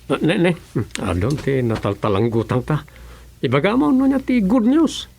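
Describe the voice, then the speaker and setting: A man's voice: on the high side, sing-song, normal speed, gravelly, a little mellow, slight background noise. A male actor with an Ilocano Filipino accent playing a chatty, nosy local councilor in a comic radio drama. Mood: chatty, bantering.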